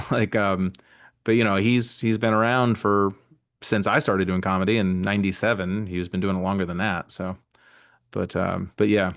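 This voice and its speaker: Low-voiced man in rambling chat. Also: level tone, moderate pace, vocal fry. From near Boston, USA. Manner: rambling, self-deprecating, many fillers. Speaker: stand-up comedian, guest. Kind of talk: casual podcast chat.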